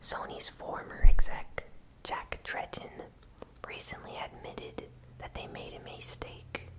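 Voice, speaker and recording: A host whispering a gaming news recap in ASMR style, with soft, unhurried, deliberate phrasing. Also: low-quality recording.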